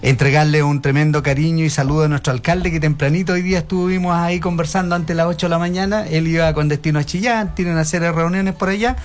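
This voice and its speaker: Effusive Chilean man, slightly high voice. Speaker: health department director. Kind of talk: radio guest greeting.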